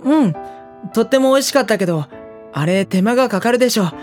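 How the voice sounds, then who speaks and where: A woman playing a mild, hesitant high school boy in a Japanese audio drama, soft-spoken and polite, with inner monologue. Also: lively intonation, brisk, faint music behind, lightly acted. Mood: mild, flustered. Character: mild, obtuse high school boy narrating his anxieties.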